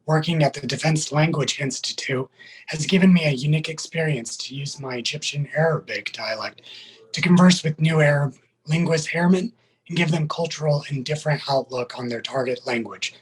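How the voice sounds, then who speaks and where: Husky, higher-pitched man, formal military guest. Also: normal speed, low-quality recording. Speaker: military sergeant, interview guest. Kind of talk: prepared interview answers.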